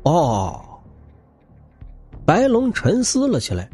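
A man's voice: on the high side, huge pitch swings, unhurried, a little mellow. A man narrating an audiobook novel in a dramatic, scripted storytelling style, with music behind him. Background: background music.

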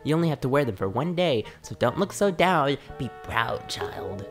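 A man putting on a gentle old granny. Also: medium pitch, big pitch swings, moderate pace, soft background music. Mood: tender. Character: gentle, doting old grandmother.

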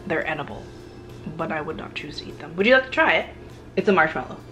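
A woman in her thirties chats candidly to a close-up camera in her home kitchen. Her voice sits a little low for a woman, and her talk is loose and full of "like". She sounds unscripted and frank. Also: lively intonation, quick pace, sharp tone, faint music behind. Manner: candid, chatty, frequent "like" fillers. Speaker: food vlogger. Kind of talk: casual grocery haul chat. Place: home kitchen in front of the fridge. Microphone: camera on a counter, close framing.

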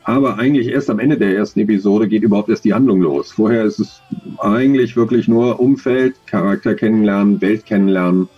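A man's voice: fairly low pitch, brisk, mellow, breathy edge, muffled recording. A man in his forties, an audio drama author, answering interview questions on a video call in a thoughtful, rambling, self-deprecating way.

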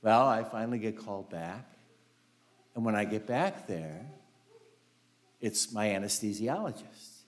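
A man's voice: on the low side, expressive, a little slow, long pauses. An older man, a priest, giving a conversational homily in a large church, full of anecdotes, often saying "you know" and laughing. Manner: chatty storytelling, frequent "you know", laughs easily. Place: large carpeted church sanctuary. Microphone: lapel mic, walking in front of altar.

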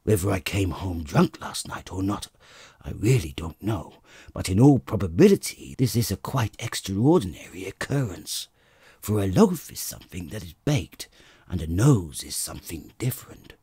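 A man voicing a timid, bewildered barber who mutters in a low, uncertain way. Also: very animated, moderate pace, dark tone, slightly rough, no room sound, clean studio sound, full character voice. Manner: muttering, hesitant. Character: bewildered timid middle-aged male barber.

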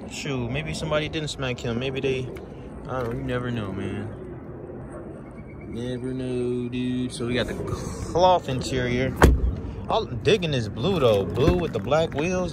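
Teasing man filming a car lot. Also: mid-range voice. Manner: teasing, chatty, addresses viewers as y'all. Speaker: car flipping vlogger. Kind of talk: casual walkaround commentary. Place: outdoor car auction lot. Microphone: handheld phone camera. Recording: phone video with outdoor noise.

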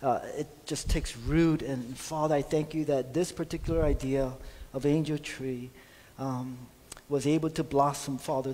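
Earnest pastor praying in a reverberant hall. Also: male voice, mid-range voice, mellow. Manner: earnest, halting with fillers. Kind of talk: church prayer and announcements. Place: church sanctuary stage at a pulpit. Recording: long church hall reverb.

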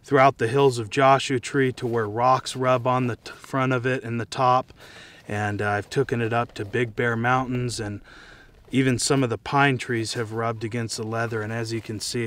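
A man's voice: mid-range voice, a bit bright, slight background noise. An American man casually demonstrating and reviewing gear to camera, speaking outdoors. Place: outdoors in dry scrubland.

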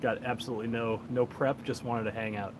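A laid-back man in his thirties hosts a casual livestream from a backyard deck. He rambles along in a mid-pitched voice, picked up by a phone with clear outdoor noise around him. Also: steady tone, a little fast. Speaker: whiskey reviewer, livestream host. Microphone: cell phone on a tripod. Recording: noisy outdoor phone recording.

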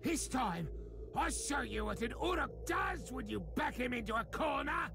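A gravelly, snarling orc warrior rants with wounded pride and menace. His voice swings wildly in pitch, tormented and vengeful, a game villain over loud music. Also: animated, moderate pace, exaggerated voice. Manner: ranting, wailing, menacing. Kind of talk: video game character acting.

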